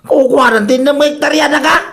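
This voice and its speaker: A man with a Filipino accent playing an excitable, scheming, complaining husband in a comedy radio drama, exclamatory and exaggerated. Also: extremely high pitch, a little fast, creaky, exaggerated voice. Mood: loud outburst.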